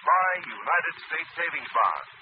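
Animated male announcer on tinny old radio. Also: fairly high pitch, unhurried, noisy background. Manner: emphatic, theatrical. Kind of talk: 1940s dramatic radio announcing.

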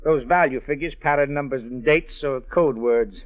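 An American actor playing a breezy, slangy, flirtatious crook in a 1940s radio crime drama. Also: male voice, mid-range voice, moderate pace, natural voice. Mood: smug, explaining. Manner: slangy, chuckling, familiar. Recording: tinny narrow-band vintage radio recording.

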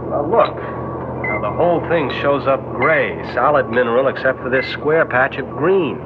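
A man's voice: mid-range voice, a little slow, close to an everyday voice. An actor playing a curious, uneasy spaceship crewman who questions insistently yet defers to superiors, in an old science-fiction radio drama. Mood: puzzled, insistent. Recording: tinny, noisy vintage radio recording.

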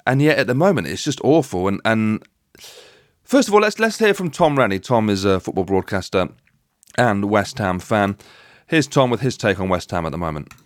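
A man's voice: on the low side, sing-song, normal speed, no echo, studio quality. A man hosting a podcast, chatting casually about football. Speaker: podcast host.

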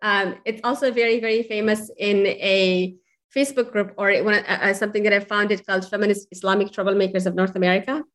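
A woman hosting a podcast, reading an introduction and then warmly greeting her guest. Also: medium pitch, steady tone, a little fast. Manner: enthusiastic, effusive. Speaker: podcast host.

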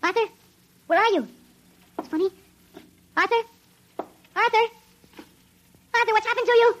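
An actor in an old radio drama playing a frightened, excitable shrunken man, his voice sped up to sound tiny. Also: normal speed. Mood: frantic. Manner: urgent, anxious. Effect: sped-up, pitched-up tiny voice. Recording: noisy, dull vintage radio recording.

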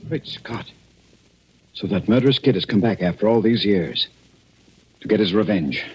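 A man's voice: fairly low pitch, animated, normal speed, a little mellow, breathy edge. An actor playing a folksy, dryly suspicious male investigator in a 1940s radio mystery. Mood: suspicious, musing. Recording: muffled old radio recording.

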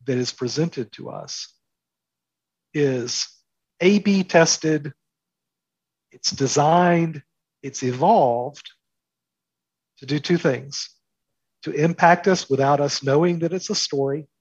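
Husky, higher-voiced man explaining emphatically. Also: dry recording, muffled recording. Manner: emphatic, with deliberate repetition for stress. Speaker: interview guest, author and commentator. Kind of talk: explanatory interview talk.

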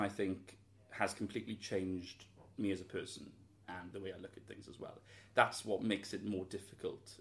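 A man's voice: low voice, fairly bright tone. A young man from Wales, a student answering interview questions in an earnest, thoughtful way, full of hedges and restarts. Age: young adult.

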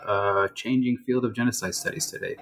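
Halting Israeli-born man scholar. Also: mid-range voice, fairly even intonation, fairly bright tone, slight background noise. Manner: halting, many fillers and restarts. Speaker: guest scholar. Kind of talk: interview answers.

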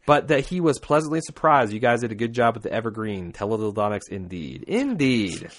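Animated American man, irreverent podcast banter. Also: medium pitch, brisk. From United States. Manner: irreverent, profane, animated ranting. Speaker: podcast co-host. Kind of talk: casual comedic banter, reading listener feedback.

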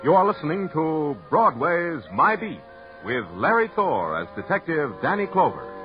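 A man's voice: a little high, sing-song, normal speed, music in the background. A man making station announcements in a polished, promotional announcer style. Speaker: radio announcer. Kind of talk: 1950s network radio announcement. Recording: dull old radio recording.

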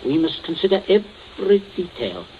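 A man's voice: sits high, very animated, moderate pace. Domineering father, wildly swooping voice, vintage radio. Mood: cold, calculating. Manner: clipped commands, sly false joviality. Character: stern, cold, domineering middle-aged father covering up a killing. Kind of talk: 1940s radio thriller acting. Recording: dull, noisy 1940s radio recording.

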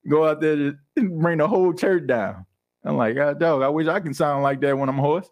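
A young man hosting a podcast in casual chat, laughing often and slipping into animated impressions. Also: on the high side, normal speed. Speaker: podcast host. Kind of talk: casual chat reading live comments.

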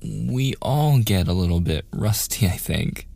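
A young man acting a playful, teasing younger brother in a soft, intimate boyfriend roleplay with casual, hesitant phrasing. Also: fairly low pitch, lively intonation, measured pace, creaky, heavily acted. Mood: apologetic, awkward.

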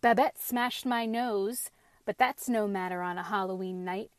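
A woman's voice: mid-range voice, unhurried, no room sound, studio quality, natural voice. A woman voicing a jolly, cheeky male pumpkin from a storybook, with a thick, throaty, airy and teasing delivery.

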